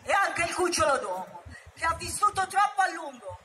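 A woman voicing a menacing, scornful male tiger in a read-aloud story, slow and threatening. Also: extremely high pitch, sing-song, bright, forceful tone, exaggerated voice. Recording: noisy background.

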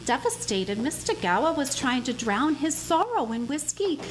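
A senior angel narrating the tale, as a woman plays the boss. Her pitch sits high and swings widely as she tells the story. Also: big pitch swings, normal speed, background noise. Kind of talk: radio play narration.

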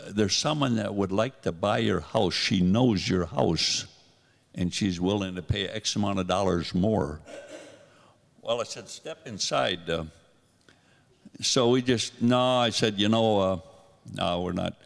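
A man's voice: lowish voice, measured pace, creaky. An older man telling rambling, self-deprecating stories to a church congregation on a handheld mic. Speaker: guest singer sharing a testimony. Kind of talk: casual storytelling to a congregation. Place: church sanctuary. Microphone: handheld microphone. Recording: long hall reverb.

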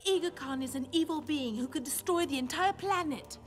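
A woman's voice: high voice, brisk, soft background music, close to an everyday voice. A woman playing a confident, earnest young cartoon academic, precise and assertive. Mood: urgent, earnest. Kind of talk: cartoon drama acting.

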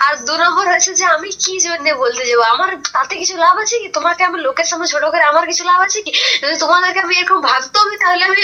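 High, bright-voiced young woman, defensive. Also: racing, lo-fi sound. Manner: defensive, protesting, stumbling repetitions. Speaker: prank call recipient. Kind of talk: defensive phone conversation.

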